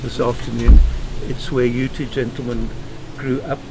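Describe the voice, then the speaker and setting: A man's voice: mid-range voice, flat delivery. Earnest South African man, noisy room. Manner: measured, emphatic, speaks in short firm statements. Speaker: podcast guest. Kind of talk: earnest interview answers. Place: home.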